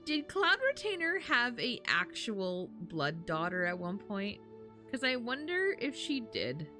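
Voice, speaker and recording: A woman doing casual live game commentary, excitable and slangy, often repeating her words as she reacts. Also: medium pitch.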